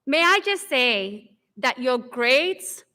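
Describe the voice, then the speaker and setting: A woman in her forties, from the Dominican Republic, giving an earnest convocation speech with measured, deliberate pauses. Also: high-pitched, very animated, sharp tone. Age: 40s. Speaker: alumni speaker. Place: large hall. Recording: long hall reverb.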